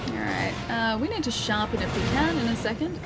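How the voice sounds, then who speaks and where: Chatty Australian woman, slightly croaky. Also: a little high, big pitch swings, fairly quick. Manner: chatty, rambling, frequent ums and laughs. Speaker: streamer. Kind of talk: casual gameplay chat, reading viewer comments. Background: loud game music.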